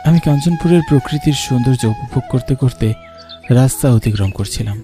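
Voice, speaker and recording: A man's voice: mid-range voice, expressive, fairly quick, warm tone, soft background music, natural voice. A man playing an earnest young man who narrates a cartoon ghost story in the first person. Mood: wondering, uneasy. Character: earnest young man narrating his eerie village journey.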